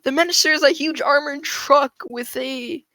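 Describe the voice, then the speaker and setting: Female gamer, bright and fairly high voice, dry close sound, casual chat. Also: steady tone, normal speed, no room sound. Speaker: online roleplay gamer.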